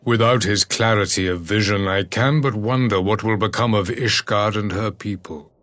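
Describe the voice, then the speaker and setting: An actor playing a proud, uncompromising emperor in a fantasy video game, with grave, oratorical and archaic formal speech. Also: male voice, fairly low pitch, normal speed, fairly bright tone, no echo, close to an everyday voice. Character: proud, uncompromising middle-aged male emperor, stern and disdainful.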